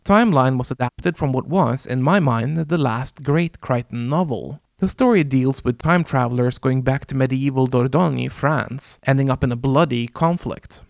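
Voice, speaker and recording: A man whose first language is Norwegian, hosting a video essay in a measured, wry scripted narration with dramatic pauses. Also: mid-range voice, vocal fry, no room sound, clean studio sound. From Norway.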